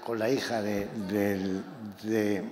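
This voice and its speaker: A novelist from Málaga, presenting his book to an audience in a talk that is impassioned and halting, with many repeated words. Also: fairly low pitch, level tone, a little mellow. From Málaga, Spain. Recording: echoey hall, faint room noise.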